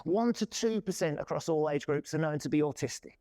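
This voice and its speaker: A man in his forties giving a loud, lively presentation to a conference audience. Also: on the high side, brisk. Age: 40s. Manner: loud, lively, self-deprecating. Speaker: conference speaker. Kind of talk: presentation talk. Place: conference room.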